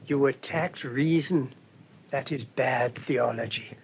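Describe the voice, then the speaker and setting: An actor playing a mild, shrewd English priest in a radio drama, speaking in a gentle, rambling, politely hesitant way. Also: male voice, slow. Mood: gently reproving. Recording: dull lo-fi radio recording, rumbling noise.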